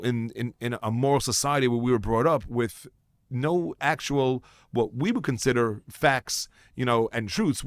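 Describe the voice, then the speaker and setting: A man's voice: medium pitch, dry recording, studio quality. A male news show host asking a guest probing questions in an earnest, conversational way.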